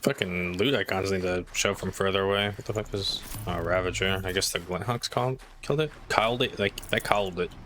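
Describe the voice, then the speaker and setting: A man's voice: low-pitched, steady tone, brisk, hardly any pauses, a bit bright, a little hiss or hum. A man doing casual gameplay commentary as a streamer, muttering offhand and swearing to himself. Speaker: game streamer. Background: video game music.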